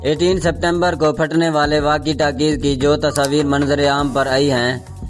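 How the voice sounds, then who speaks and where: A man with a somewhat high-pitched voice narrates a scripted news explainer. His delivery is formal and measured, in a documentary style. Soft music plays behind him. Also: little pitch movement. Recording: background music under the voice.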